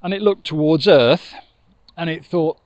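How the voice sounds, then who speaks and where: Older male storyteller, conversational, lightly high-pitched, slow delivery with dramatic pauses. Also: a little mellow, dry recording, dull audio. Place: outdoors by a river in open countryside. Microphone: lapel mic with furry windshield.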